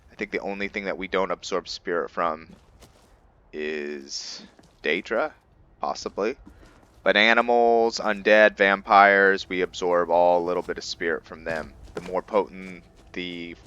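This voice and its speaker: A man's voice: sits low, steady tone, measured pace, a bit bright, smooth, muffled recording. A man giving casual gameplay commentary as a let's player, thinking aloud in short bursts.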